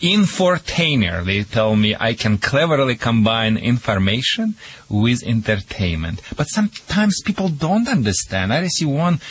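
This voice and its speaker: A man's voice: mid-range voice, slightly rough, dry recording. A man with a Russian accent and broken English, giving dog behavior advice on a call-in radio show. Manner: talkative, broken English, chuckles often. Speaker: dog trainer guest.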